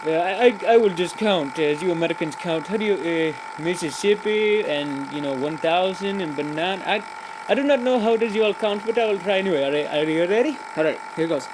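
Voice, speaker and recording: A man's voice: high-pitched, lively intonation, a little fast, background noise. A man voicing a cheerful, rambling joke-teller, broken grammar and giggly asides. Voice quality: broken grammar, many fillers and restarts, giggly. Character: rambling, cheerful adult man telling pointless jokes. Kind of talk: comic character monologue.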